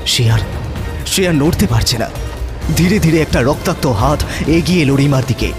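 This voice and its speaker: A man narrating a horror story in a suspenseful, theatrical manner with dramatic pauses. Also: on the high side, lively intonation, music in the background.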